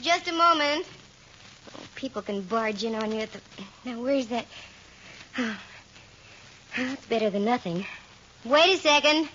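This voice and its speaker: An American woman playing a sharp-witted, flirtatious private investigator in a 1940s radio drama, with wry, teasing, arch delivery on a dull, noisy vintage recording. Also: on the high side, expressive, a little slow, long pauses. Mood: brisk, flustered. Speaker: radio actress in lead role.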